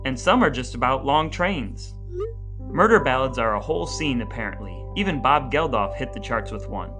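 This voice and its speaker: A man's voice: medium pitch, animated, moderate pace, over music. A man co-hosting a podcast in a conversational, partly scripted style, with playful mock-grandiose phrasing. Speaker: podcast co-host. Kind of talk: conversational podcast talk with semi-scripted intro.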